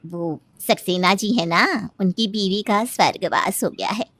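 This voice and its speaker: A woman's voice: medium pitch, measured pace, a bit bright, dry recording. A woman playing a long-suffering older mother-in-law in a comic family story, resigned and dryly chiding. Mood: gloomy, resigned.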